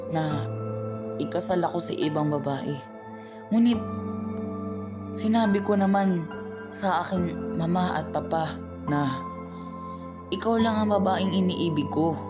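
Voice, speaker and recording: A woman's voice: lowish voice, unhurried, pauses often, over loud music, voice pushed up, lightly acted. A woman voicing a young man torn between love and duty, talking earnestly and pleading, in an amateur radio play.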